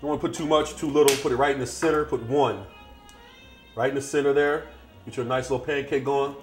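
Casting: middle-aged man, laid-back kitchen host, chatty and unhurried, faint music in the background. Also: medium pitch. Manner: relaxed, chatty, talks while cooking. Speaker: cooking show host. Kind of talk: casual cooking demonstration. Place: home kitchen, indoors.

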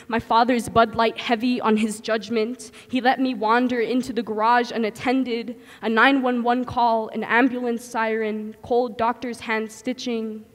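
A young transgender man performing spoken word poetry at an open mic, with a rhythmic, impassioned delivery that swings between hushed and loud. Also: extremely high pitch, long reverb tail. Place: stage in a community hall, indoors. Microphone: stand mic on stage.

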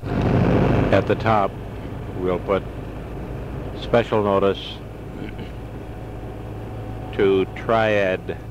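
Male, low register, unhurried halting letter dictation, noisy humming tape. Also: level tone, dull audio. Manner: halting, phrase by phrase, voicing punctuation. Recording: noisy tape with loud hum.

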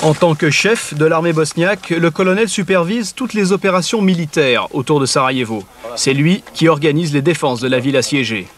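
Male narrator, slightly high, scripted report, distant archive sound with voices behind. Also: lively intonation, noisy background. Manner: measured, descriptive reporting. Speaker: documentary narrator.

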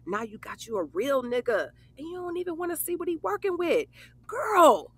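An actress playing a brash, bawdy but loyal young best friend, blunt and teasing, in an audio drama. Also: female voice, sits high, big pitch swings, normal speed, fairly bright tone, heavily acted. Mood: teasing, pushy. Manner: sassy, blunt, foul-mouthed, teasing. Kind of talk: casual conversational audio drama.

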